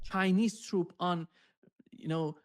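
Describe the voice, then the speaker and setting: A man's voice: high-pitched, steady tone, extremely slow. A man hosting a political show, giving spontaneous commentary in an insistent way, often repeating phrases. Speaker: host.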